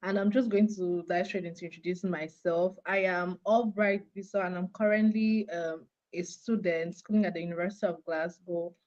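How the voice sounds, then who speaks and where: A young woman from Cameroon, a graduate student, explaining things clearly and steadily as an interview guest over a call. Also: fairly low pitch, fairly even intonation, moderate pace, hardly any pauses, darkish tone, lo-fi sound.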